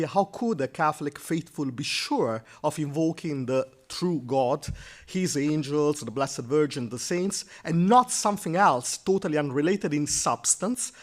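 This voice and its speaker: A man, a historian, presenting his paper at an academic conference in a measured way, with frequent fillers. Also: on the high side.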